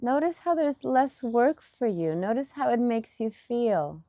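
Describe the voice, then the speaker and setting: Slow-paced woman guiding meditation. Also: on the high side, big pitch swings, warm tone, no echo, studio quality. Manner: unhurried, instructive, speaking in short pause-separated phrases. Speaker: meditation teacher. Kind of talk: guided meditation talk.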